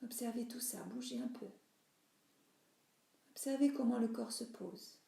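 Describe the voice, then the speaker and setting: A woman guides a relaxation practice in a roomy, echoing recording. Her voice sits a little high yet stays mellow. She instructs soothingly, letting phrase endings soften into breath. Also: creaky. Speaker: yoga nidra teacher.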